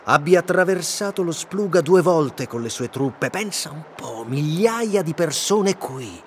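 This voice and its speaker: A man's voice: a little high, expressive, a little slow, a little hiss or hum. An actor playing a dry, exasperated, by-the-book man guide in an audio drama, grumbling expressively as he sticks to the script. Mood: explaining. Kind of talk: naturalistic audio drama dialogue.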